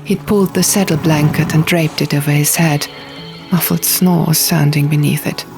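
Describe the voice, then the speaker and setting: A woman reading fiction as a dramatic audiobook narration, with measured, deliberate phrasing. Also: low voice, fairly even intonation, vocal fry. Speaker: audiobook reader. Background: music.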